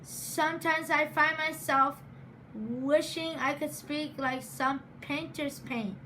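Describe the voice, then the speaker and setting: A woman giving a prepared speech in a deliberate, measured way, stuttering often with repeated sounds and words. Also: sits high, fairly even intonation, bright, forceful tone, a little hiss or hum, rough recording. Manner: stutters with sound and word repetitions. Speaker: program graduate giving a speech.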